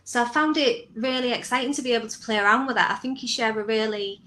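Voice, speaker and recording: A woman's voice: on the high side, quick pace, sharp tone. A woman answering interview questions as a guest writer, talking conversationally about her own work.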